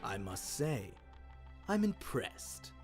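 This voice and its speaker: A man's voice: mid-range voice, sing-song, slow, soft background music, pitched below the performer's own voice. A man voicing a cruel, mocking king, gloating and vengeful, with a taunting, menacing delivery.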